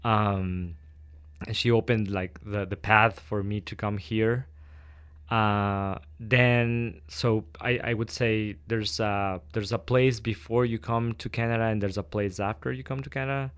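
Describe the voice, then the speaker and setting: Soft-spoken man, thoughtful and hesitant. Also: on the low side, steady tone, unhurried, clear, resonant tone, no echo, clean studio sound. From Ecuador. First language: Spanish. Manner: hesitant, thoughtful, many ums and restarts. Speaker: guest, doctoral student. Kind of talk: interview answers.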